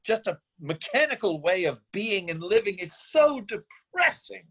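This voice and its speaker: A man's voice: high-pitched, sing-song, unhurried, fairly bright tone, breathy edge, exaggerated voice. A man acting an idealistic, defensive drifter father in a screenplay reading, speechifying earnestly in a rambling way. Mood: impassioned, despairing. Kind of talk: screenplay table-read acting.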